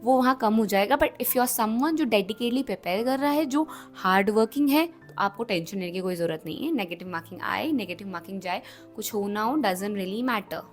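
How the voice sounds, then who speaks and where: A young Indian woman with a slightly hoarse throat, explaining things to students in a chatty, emphatic, reassuring vlog style, switching between two languages. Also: mid-range voice, animated, moderate pace, faint music behind. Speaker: YouTube educator for exam aspirants. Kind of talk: casual explainer vlog in mixed Hindi and English.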